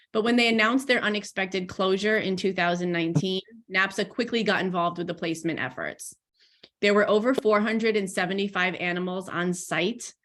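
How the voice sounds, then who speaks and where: A woman's voice: mid-range voice, a bit bright. A woman hosting a video-call briefing as program director, a little stuffy from a cold, upbeat with small restarts.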